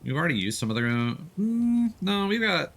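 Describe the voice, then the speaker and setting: A man's voice: high-pitched, big pitch swings, a little fast, a bit bright. A man doing live let's-play commentary, casually explaining the game as he plays. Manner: casual, instructive. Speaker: game streamer. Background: video game ambience.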